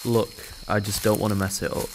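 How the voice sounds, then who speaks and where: Faint outdoor noise with crickets surrounds a man acting a young British college student. His voice is on the low side, shy and hesitant, cautious and anxious, in a natural audio drama style. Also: flat delivery, fairly quick, lightly acted. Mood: downcast. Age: young adult.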